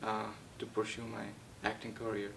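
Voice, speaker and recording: A young man from Nepal, a guest actor, answering interview questions in a halting way with many fillers and restarts. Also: completely flat delivery, vocal fry, a bit bright. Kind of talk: casual interview. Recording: distant mic with faint rumble.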